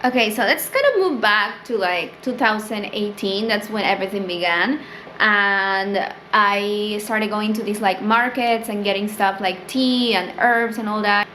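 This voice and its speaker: A woman's voice: mid-range voice, a little slow, sharp tone, a little hiss or hum, rough recording. A young woman from Peru with a Peruvian Spanish accent, Spanish her first language, chatting casually to camera about her small business and filling her talk with "like" and "um". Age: young adult. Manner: chatty, fills with "like" and "um", rises for quoted thoughts. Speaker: small business owner vlogger. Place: small indoor room with tea stock shelf.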